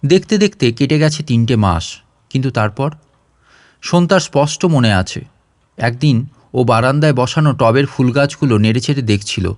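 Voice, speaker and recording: A man narrates a story in a dramatic audiobook style, at a middling pitch. The sound is dry and close, with very little room around the voice. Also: expressive, normal speed, no room sound.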